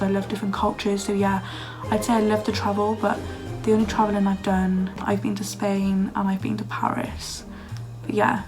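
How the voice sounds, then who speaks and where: A young woman in her early twenties is chatting casually to a phone camera, answering viewer questions. Her voice sounds a little hoarse and croaky. She fills her talk with "like", and faint music plays behind her. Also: medium pitch, low-quality recording. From Wales. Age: young adult. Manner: chatty, lots of "like", laughs often. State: slightly hoarse, losing her voice. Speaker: vlogger. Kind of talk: casual Q&A chat.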